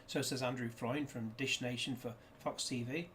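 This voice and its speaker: A man's voice: mid-range voice, fairly even intonation, normal speed, a bit bright, a little hiss or hum. A man chatting casually through a disc unboxing, rambling with jokey asides, recorded indoors on a desk camera. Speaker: disc reviewer.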